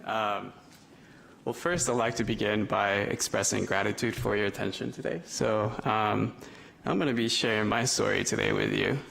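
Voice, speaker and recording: Young speaker from el salvador, low gravelly voice, lots of ums, telling his own story at a panel. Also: steady tone, moderate pace, fairly bright tone. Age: 20s. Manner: hesitant, many filler ums. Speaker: youth representative. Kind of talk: personal story told to a panel audience.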